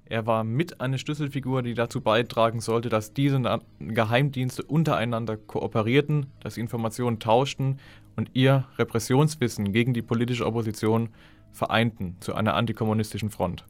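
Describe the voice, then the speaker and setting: A man's voice: medium pitch, fairly even intonation, a little fast. A man giving explanatory documentary commentary as an expert.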